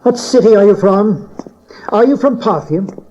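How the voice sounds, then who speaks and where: A man's voice: sits high, lively intonation, a little slow, warm tone. An actor playing a scornful, suspicious, short-tempered king in a Bible audio drama, commanding and sardonic. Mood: cold suspicion. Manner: commanding, sardonic, clipped questioning. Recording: dull cassette tape audio drama.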